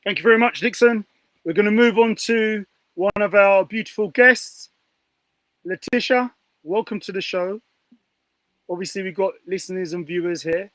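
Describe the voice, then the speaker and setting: A man's voice: high voice, normal speed, fairly bright tone, dry recording, poor audio. A man hosting a panel talk show in a chatty style. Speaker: presenter and host.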